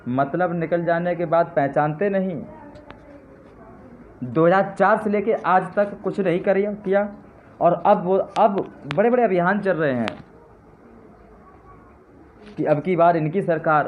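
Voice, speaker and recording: A man giving an impassioned live address to his supporters, with fervent, repetitive appeals that rise to indignant jabs. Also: a little high. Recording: tinny phone-line sound with faint noise.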